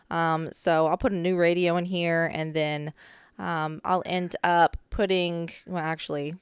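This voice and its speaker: Slightly hoarse young woman. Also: on the low side, dry recording, clean studio sound. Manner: chatty, relaxed, with frequent "um" asides. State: a little hoarse from a cold. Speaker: DIY renovation vlogger. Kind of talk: casual voice-over narration of demolition footage.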